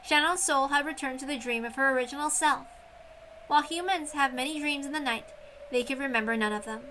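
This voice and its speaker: Bright, high-voiced woman narrating a story. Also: a little fast, a little hiss or hum, poor audio. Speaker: reader of a visual novel.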